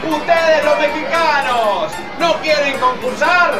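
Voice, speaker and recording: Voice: high-pitched, slow. A performer voicing a frenzied lottery barker in radio theatre, hawking repetitively and showily like a carnival announcer. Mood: excited hawking. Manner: shouting, repetitive, showy hawking. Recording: echoey, distant, loud music and crowd.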